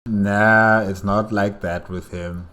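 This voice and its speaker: A man's voice: on the low side, steady tone, slow, sharp tone. A man making short, casual comments while reacting to a story video. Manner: short, offhand remarks.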